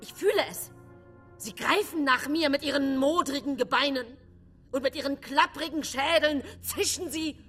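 A woman's voice: sits high, normal speed, fairly bright tone, soft background music. An actress playing a despairing widow in a radio drama, lamenting her dead as if haunted by their graves. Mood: dread. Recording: long cavernous reverb.

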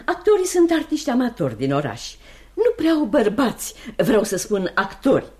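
A woman's voice: fairly high pitch, very animated, warm tone. An actress playing a middle-aged, genteel and chatty landlady, effusively hospitable, in Romanian radio theatre. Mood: amused, enthusiastic.

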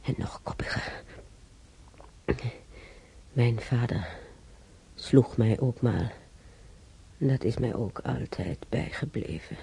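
An actress playing a regretful old mother in a radio drama, halting and brooding, with a husky, creaky voice. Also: rumbling low, warm tone, full character voice, rough recording. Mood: remorseful. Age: older. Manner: halting, brooding, repeating herself. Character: regretful old mother remembering beating her stubborn son.

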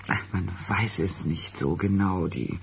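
An actress playing an evasive, flustered woman guarding a secret in a German radio drama, halting and hesitant. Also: very deep voice, steady tone, a little hiss or hum. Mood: reluctant, uneasy.

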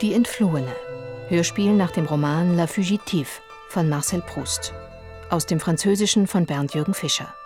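A woman's voice: lowish voice, normal speed. A woman reading scripted titles as a radio drama announcer. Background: instrumental music.

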